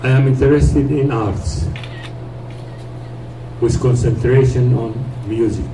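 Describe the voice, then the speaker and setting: Hoarse older man speaking slowly. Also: medium pitch. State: hoarse, losing his voice. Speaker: guest speaker. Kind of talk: informal speech to an audience. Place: small community hall. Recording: roomy hall, noisy, from far off.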